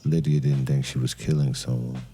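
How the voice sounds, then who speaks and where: An actor playing a weary, brooding detective who narrates his case in an audio crime drama with somber, deliberate pauses. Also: rumbling low, flat delivery, mellow, faint music behind. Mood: grave, brooding.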